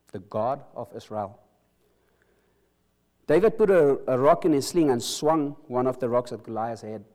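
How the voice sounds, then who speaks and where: A middle-aged man with an Afrikaans accent, native Afrikaans speaker from South Africa, earnestly preaching a sermon and leading prayer, often halting and restarting words. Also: medium pitch, warm tone.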